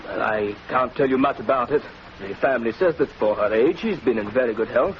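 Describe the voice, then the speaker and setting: An actor playing an anxious, deferential gentleman, speaking hesitantly in a 1940s radio drama. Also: medium pitch, fairly quick, close to an everyday voice. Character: anxious, polite gentleman, worried son and former war correspondent. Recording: muffled, noisy 1940s radio recording.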